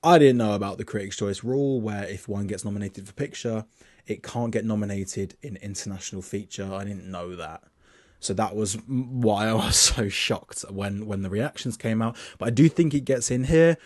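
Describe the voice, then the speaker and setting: A chatty young man with a lowish voice, walking through a list of award picks in a loose, casual way, often restarting his sentences. His voice sounds dry and close, with no room around it. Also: normal speed, no room sound. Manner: reads his list off notes. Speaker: film awards vlogger. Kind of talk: casual spoken predictions.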